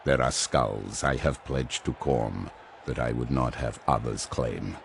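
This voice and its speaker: An actor playing a grim, cold, proud berserker champion of a Chaos warband in an audio drama, speaking in subdued, menacing tones with a gravelly voice. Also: male voice, a little hiss or hum, exaggerated voice. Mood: contemptuous. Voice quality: gravelly, very deep. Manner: subdued, slow, menacing delivery.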